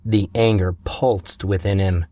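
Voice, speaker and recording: A man narrating a fantasy novel in a measured, steady storytelling manner, recorded on a close mic. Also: deep voice, no room sound.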